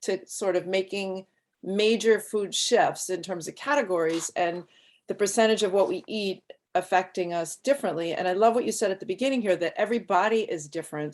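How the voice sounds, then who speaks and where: A woman's voice: mid-range voice, darkish tone. A woman hosting an interview, chatty and enthusiastic as she talks with her guest. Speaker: host. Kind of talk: casual interview talk.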